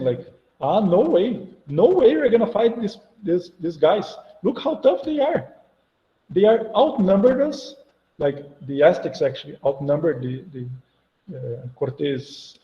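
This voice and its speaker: A man with a Brazilian Portuguese accent, a native Portuguese speaker, giving an animated online university lecture and acting out imagined inner voices. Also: high voice. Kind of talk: online academic talk. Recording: echoey room, dull lo-fi sound.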